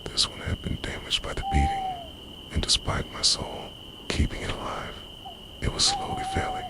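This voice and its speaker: A man playing an obsessive, centuries-old lover in an intimate ASMR roleplay, half-whispering in a breathy, confessional way, tender and possessive. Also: extremely high pitch, monotone, moderate pace, voice pushed up. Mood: hushed, confessional. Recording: noisy, owls and insects behind.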